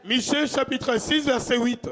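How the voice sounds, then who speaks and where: Young man, very high voice, slow careful scripture reading, reverberant church hall. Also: steady tone, a bit bright. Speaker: scripture reader.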